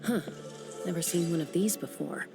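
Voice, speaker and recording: A woman playing a practical young female adventurer who speaks in a calm, matter-of-fact way. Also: lowish voice, moderate pace, creaky, music in the background. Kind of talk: video game dialogue.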